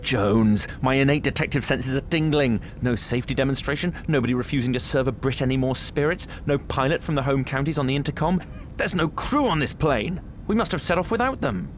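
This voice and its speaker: A man's voice: mid-range voice, fairly quick, slight background noise, lightly acted. Man acting a pompous, self-important detective. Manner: pompous, grandiose. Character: pompous, self-important male British detective, snobbish and deluded. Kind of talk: comic audio drama acting.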